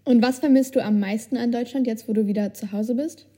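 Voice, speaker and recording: A woman's voice: fairly high pitch, steady tone, a little fast, warm tone, slightly rough. A woman hosting a podcast, asking friendly questions and encouraging her guest. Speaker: podcast interviewer. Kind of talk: casual podcast interview.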